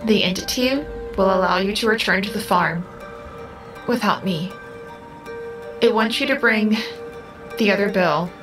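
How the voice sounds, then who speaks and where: An actress voicing a calm female artificial intelligence in an audio drama, speaking precisely and formally. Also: mid-range voice, measured pace, long pauses, natural voice. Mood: grave, formal. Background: music.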